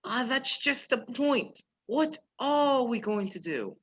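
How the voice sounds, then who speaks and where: A performer playing a polite, measured male professor who is secretly a menacing spy chief in a radio play. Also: mid-range voice, lively intonation, fairly bright tone, slightly rough, full character voice. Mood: quietly menacing. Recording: dull lo-fi virtual recording.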